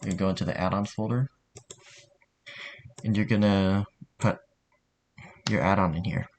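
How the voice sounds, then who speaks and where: A man narrating a software tutorial in an informal, somewhat hesitant way. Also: deep voice, fairly even intonation, unhurried, slight background noise. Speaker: tutorial host.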